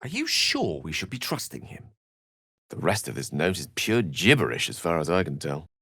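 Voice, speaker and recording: A man's voice: deep voice, wildly swooping pitch, moderate pace, fairly bright tone, slightly rough, no echo, studio quality, full character voice. A man voicing an eloquent, bookish wizard in a video game, articulate and theatrical, with ornate scholarly phrasing and a wry streak. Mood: wry, conversational. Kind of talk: scripted video game character dialogue.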